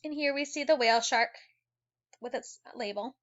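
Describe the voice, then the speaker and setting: Bright, higher-voiced woman reading to children. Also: normal speed, dull audio. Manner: animated, exclaiming and wondering aloud for young listeners. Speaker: teacher reading a children's book aloud. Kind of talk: expressive educational read-aloud with asides to children.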